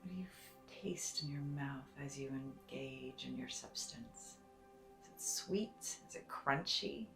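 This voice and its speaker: Woman doing guided meditation, low gravelly-edged voice, soft n soothing, bit echoey, music under it. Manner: soothing, gentle, deliberate with long pauses.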